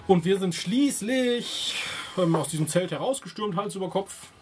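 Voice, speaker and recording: Higher-pitched man recapping a tabletop game. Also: lively intonation, moderate pace, slight background noise. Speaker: tabletop role-playing player. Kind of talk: unscripted recap of a game session.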